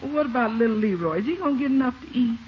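An actress playing an exuberant, warm-hearted household cook with a folksy manner in a 1950s radio comedy. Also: female voice, a little high, lively intonation, racing, close to an everyday voice. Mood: fond, concerned. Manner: folksy, speaks of herself by name. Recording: muffled old radio recording, faint hiss.

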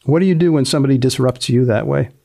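A man's voice: mid-range voice, fast, gravelly, warm tone. A man hosting a podcast in a conversational interview style, with a playfully probing manner. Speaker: podcast host and magazine editor. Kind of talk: conversational interview with scripted intro.

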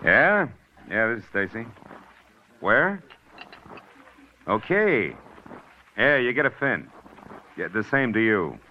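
A smug scandal columnist. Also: male voice, fairly low pitch, sing-song. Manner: smug, wisecracking, fast-talking. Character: slick, cocky male scandal columnist. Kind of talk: 1940s radio acting. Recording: dull old radio broadcast, faint hiss.

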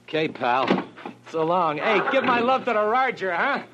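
A talkative big-city cab driver in a forties radio play, full of slang and pally wisecracks. His voice sits a bit high, and the recording is dull, with heavy vehicle noise. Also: big pitch swings, moderate pace. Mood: agreeable. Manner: slangy, calls people pal. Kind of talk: 1940s radio drama acting. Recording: muffled old radio recording, loud engine noise.

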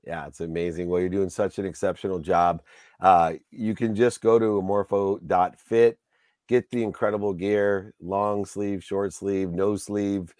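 Low-voiced guy hosting a chatty interview, rambling and hyped, dry sound. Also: level tone, measured pace, a little mellow, no echo. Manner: enthusiastic, rambling, effusive praise. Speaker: podcast host. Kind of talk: casual interview chat.

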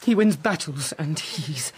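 Husky, fretful young king. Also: low voice, animated, a little mellow, exaggerated voice. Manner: hesitant, fretful, halting. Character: weak, frightened, dithering young king. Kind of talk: dramatic radio play acting.

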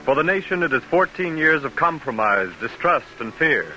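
A man's voice: a little high, animated, normal speed, pushed and bright, low-quality recording. A man narrating a historical radio drama in a scripted, dramatic vintage style. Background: music.

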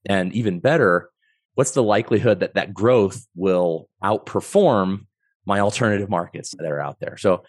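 A man in his forties with a cold, a podcast guest explaining real estate underwriting in a measured, analytical way. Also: low-pitched, dry recording, clean studio sound. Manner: measured, analytical, thinks aloud with restarts. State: has a cold. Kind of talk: explanatory conversation.

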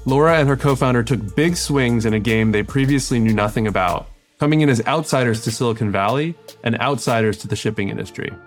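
A man hosting a podcast with a polished, upbeat delivery, moving from a read intro into questions for his guest. His voice sits at a middle pitch for a man, with faint music underneath. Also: fairly quick. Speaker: podcast host. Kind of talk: scripted intro then interview questions.

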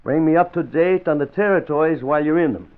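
An actor playing a confident, bossy racketeer kingpin in an old radio crime drama. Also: male voice, fairly high pitch, quick pace, close to an everyday voice. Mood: commanding, sly. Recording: tinny old radio recording.

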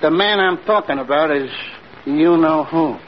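An actor playing a slick, self-important wheeler-dealer in old-time radio comedy, smooth-talking and conspiratorial. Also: male voice, on the high side, moderate pace, lightly acted. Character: slick, bluffing male wheeler-dealer and swindler. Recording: muffled noisy vintage radio recording.

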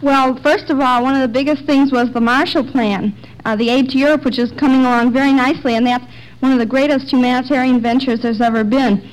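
A young girl gives answers on an old radio quiz. Her voice is high, and her manner is earnest and precocious, as heard on a vintage 1940s broadcast. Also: steady tone, a little fast, poor audio. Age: child or young teen girl. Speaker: quiz kid contestant.

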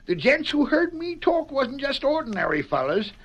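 A man playing an excitable, bumbling cowboy with a rustic Western American accent, stammering and folksy, in old radio western style. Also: extremely high pitch, normal speed, muffled recording, full character voice. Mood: sheepish worry. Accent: rustic American West. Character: excitable, bumbling cowboy blabbermouth named Missouri.

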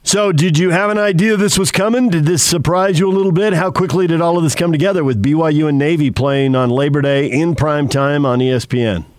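A man's voice: fairly high pitch, fairly quick, few pauses, dry recording. A man hosting a sports radio show, delivering introductions and putting questions to a guest.

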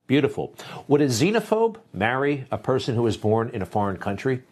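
A man's voice: medium pitch, very animated, fairly quick, darkish tone. A man hosting a news show, giving opinionated commentary with sarcastic, dismissive asides.

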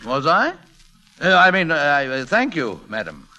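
An actor playing a shy, flustered middle-aged English gentleman posing as a valet, with a hesitant, stammering classic radio drama delivery. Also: a little high, very animated, moderate pace. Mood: hesitant, polite. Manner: hesitant, stammering, indignant when challenged.

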